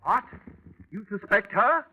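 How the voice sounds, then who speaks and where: An actor playing a stuffy, guarded British police inspector in an old radio drama, with pompous, formal phrasing. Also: male voice, sits high, big pitch swings, takes it slowly, pushed and bright, a little hiss or hum, exaggerated voice. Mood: flustered, indignant. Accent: British English. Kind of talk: 1940s radio acting. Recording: muffled old radio recording, reverberant, narrow band.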